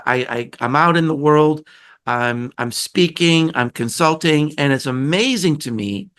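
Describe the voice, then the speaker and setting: A man hosting a podcast interview, a little hoarse, talking in a chatty, rambling way with many restarts. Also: a little high, a bit bright. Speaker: podcast host. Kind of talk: casual conversational interview.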